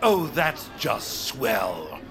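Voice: medium pitch, huge pitch swings, very slow, vocal fry, over music, crowd noise, full character voice. An actor playing a haughty, sarcastic baron in a comedy radio play, speaking in a pompous manner. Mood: snooty.

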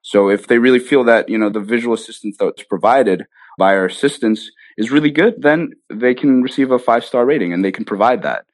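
A man's voice: mid-range voice, fairly quick, hardly any pauses, no echo. A man being interviewed, explaining his work enthusiastically in a conversational way, often checking in with "right?". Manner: conversational, punctuates points with "right?" and "you know". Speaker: guest, app founder being interviewed.

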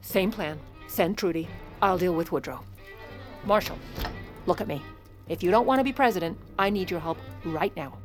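Woman playing a scheming First Lady, lowish voice, wry, brisk, commanding, audio drama. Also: soft background music, close to an everyday voice. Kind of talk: audio fiction acting with wry first-person narration.

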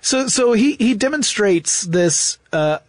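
A man's voice: high-pitched, lively intonation, slow, no echo. A man hosting a podcast, explaining and telling stories in a conversational way. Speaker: podcast host.

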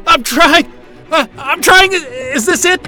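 Man playing a rattled male astrophysicist, high-pitched, sharp tense voice, stammering, foul-mouthed, bewildered, sci-fi audio drama. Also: takes it slowly, faint music behind, full character voice. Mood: panicked. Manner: gasping, stammering, profane. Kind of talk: science-fiction audio drama acting.

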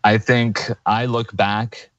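A man in his thirties answering interview questions in an earnest, halting way, with many fillers and restarts. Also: fairly low pitch, level tone, no room sound, highs cut off. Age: 30s. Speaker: guest activist.

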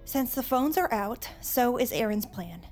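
Bossy teen girl, highish voice, sure of herself, assertive, audio drama. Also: expressive, a little slow, music in the background. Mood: determined. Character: bossy, determined teenage girl leading an escape.

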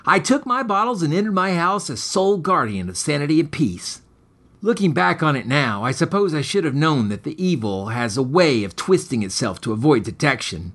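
A man's voice: medium pitch, a bit bright. A man reading scripted material as author and host, with a melodramatic, ornate storytelling manner. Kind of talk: scripted dramatic story narration.

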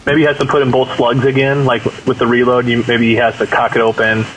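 A man's voice: mid-range voice, level tone, fast, noisy background. A man on a podcast panel, explaining things in a casual, conversational way.

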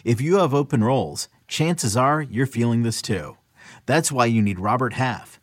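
A man reading a scripted radio ad in a measured, smooth voice. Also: medium pitch, expressive, no echo, studio quality. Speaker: ad announcer. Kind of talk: scripted ad read.

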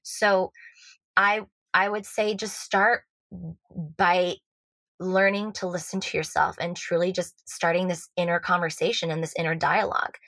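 A woman's voice: fairly low pitch, little pitch movement, a little slow, a bit bright, no room sound. A woman from Switzerland with an American accent, a love coach guest talking earnestly in a casual podcast chat, full of fillers and restarts.

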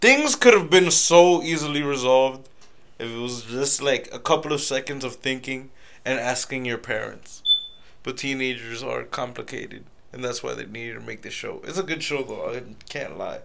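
Chill young guy co-hosting a podcast, mid pitch, easygoing, mumbles off quiet at the ends. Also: moderate pace, vocal fry, a little hiss or hum. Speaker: podcast co-host. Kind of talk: casual chat.

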